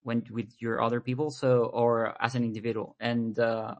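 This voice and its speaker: A man's voice: medium pitch, little pitch movement, moderate pace, a little mellow, dull audio. Man, rambling guest talk. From Venezuela. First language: Spanish. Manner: rambling, repeats words while thinking. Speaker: podcast guest. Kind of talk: casual conversation.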